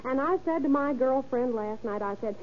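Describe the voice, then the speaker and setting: A woman's voice: high-pitched, moderate pace, natural voice. An actress playing a chatty, nosy young shopgirl who gushes and rambles in 1930s radio style. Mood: gossipy, eager. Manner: gushing, gossipy, rambling. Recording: muffled, hissy old radio recording.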